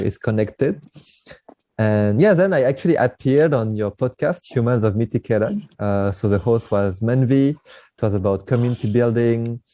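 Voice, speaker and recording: A man's voice: medium pitch, mellow, no echo. A man hosting a podcast, introducing his guest and chatting in an eager, friendly way with frequent laughs. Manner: enthusiastic, chatty, laughs often. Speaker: podcast host. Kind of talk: casual interview, scripted intro.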